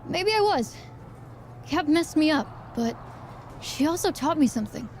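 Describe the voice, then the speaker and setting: A woman's voice: sits high, animated, a little slow, a little hiss or hum. A woman playing a principled, defiant young wrestler in a video game cutscene, speaking with earnest emphasis. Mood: wry, confident.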